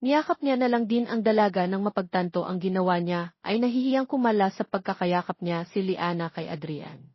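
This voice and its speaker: Casting: female drama narrator, mid-pitched scripted storytelling, very dry booth sound. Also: moderate pace, no echo, dull audio.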